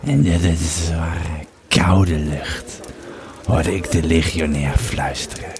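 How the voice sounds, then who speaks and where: A man playing a weary, troubled Roman legionary who tells his tale haltingly in an audio drama. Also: rumbling low, steady tone, a crowd in the background. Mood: uneasy, brooding. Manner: halting, repeating words, hushed at times. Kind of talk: audio drama monologue and narration.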